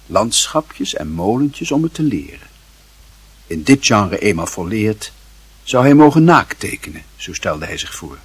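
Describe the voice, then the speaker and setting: A man with a husky voice reading scripted literary narration for a radio play. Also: fairly low pitch, big pitch swings, a little slow, dry recording.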